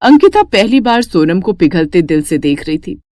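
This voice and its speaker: A woman's voice: lowish voice, big pitch swings, normal speed, dark tone, no room sound, clean studio sound. A woman storyteller giving scripted narration for a cartoon moral story.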